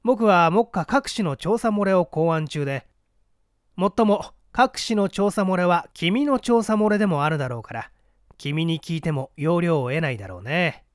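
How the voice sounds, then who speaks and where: Guy doing a pompous brainy detective in an audiobook, lecturing, highish voice, very up and down. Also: animated, normal speed, no echo, clean studio sound, close to an everyday voice. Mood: methodical, lecturing. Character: shrewd, condescending male scholar-detective, methodical and talkative. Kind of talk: dramatic storybook reading of a mystery.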